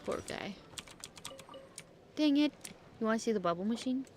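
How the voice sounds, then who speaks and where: A woman's voice: a little high, lively intonation, moderate pace, darkish tone, a little hiss or hum. A woman giving casual gameplay commentary as a streamer, talking to herself playfully and swearing casually. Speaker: game streamer.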